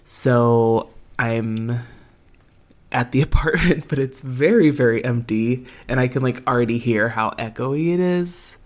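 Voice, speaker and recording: Slow, giggly woman podcast host. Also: a bass voice, a little mellow. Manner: giggly, chatty, lots of fillers. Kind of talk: casual chat. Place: large empty apartment.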